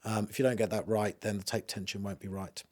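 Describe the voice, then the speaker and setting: A man's voice: lowish voice, little pitch movement, fast talker, a little mellow. A man giving an informal, matter-of-fact spoken demonstration of audio equipment, explaining as he goes. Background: music played through a tape deck.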